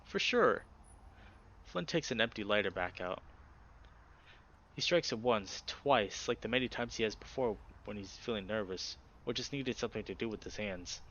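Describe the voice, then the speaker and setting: A man's voice: fairly low pitch, normal speed, a bit bright. A man narrating story prose as he reads a visual novel aloud. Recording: dull, noisy home recording.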